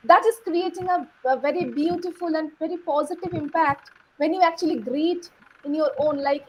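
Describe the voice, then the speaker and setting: A woman's voice: high voice, low-quality recording. A woman with a Bengali accent, a native Bengali speaker, moderating an online session with gracious, upbeat remarks. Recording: faint background noise.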